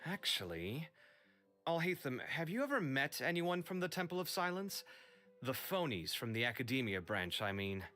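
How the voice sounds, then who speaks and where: A man playing a fretful, expressive young scholar in a fantasy video game, with theatrical exasperation. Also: medium pitch, moderate pace, soft background music. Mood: grudging.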